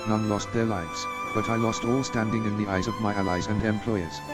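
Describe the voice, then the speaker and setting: A man's voice: lowish voice, natural voice. An actor playing a timid young male adventurer, speaking earnestly and hesitantly, with game music behind him. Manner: earnest, hesitant, self-reproaching. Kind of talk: game voice acting.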